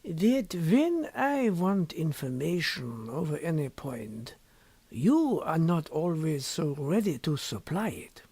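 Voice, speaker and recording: Man voicing a secretive scholar, sly, impassive, measured formal phrasing, audiobook dialogue, high swooping pitch, slightly husky. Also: big pitch swings, darkish tone, no echo, heavily acted. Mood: sly, probing. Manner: measured, deliberate, formal, lingering on words.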